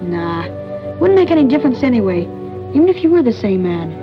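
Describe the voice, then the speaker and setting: A woman's voice: fairly high pitch, very animated, a little fast. An actress playing a chatty, restless young boy in a 1940s radio thriller, with an eager, gossipy manner. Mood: cagey. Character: chatty, restless young boy who dodges his strict mother. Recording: muffled 1940s radio recording with music.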